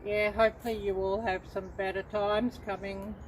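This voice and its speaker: A middle-aged woman from Australia hosting a casual livestream, chatting unhurriedly to viewers with many pauses and ums. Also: mid-range voice, little pitch movement, a little hiss or hum, poor audio. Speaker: livestream host.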